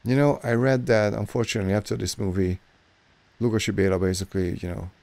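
A man's voice: lowish voice, fast talker, gravelly, no echo. Hungarian-accented man reacting to a film. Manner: chatty, excited, self-correcting. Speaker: movie reaction host. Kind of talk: casual reaction commentary. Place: small dark home studio. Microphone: studio mic on boom arm, headphones.

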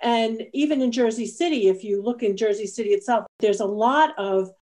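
A woman's voice: a little high, normal speed, warm tone. A woman explaining informally as a panelist on a video call.

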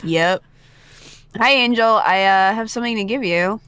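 A woman co-hosting a gaming video, reading visual novel narration with playful, expressive asides. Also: medium pitch, moderate pace, clear, resonant tone, no echo, faint music behind.

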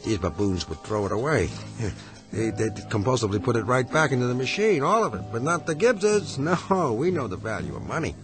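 A man's voice: mid-range voice, sing-song, brisk, natural voice. An actor playing a prim, pompous married man in a radio drama, stammering when flustered. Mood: smug. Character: prim, self-righteous married man, easily rattled. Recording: radio play with music underneath.

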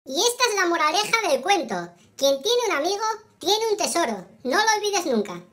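A performer voicing a cute little kitten who tells a children's story in a friendly, expressive, childlike way. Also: very high voice, normal speed, heavily acted. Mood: cheerful storytelling. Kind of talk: children's storytelling, scripted narration.

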